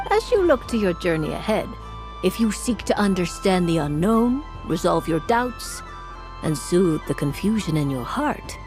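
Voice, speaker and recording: A woman's voice: mid-range voice, close to an everyday voice. A woman voicing a grand, mysterious and playful witch fortune-teller in an anime game, with formal, ornate, theatrical phrasing. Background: music.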